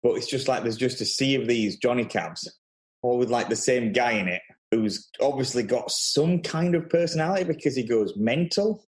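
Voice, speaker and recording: A man's voice: medium pitch, normal speed. A man chatting casually about films as a podcast guest. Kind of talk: casual film chat.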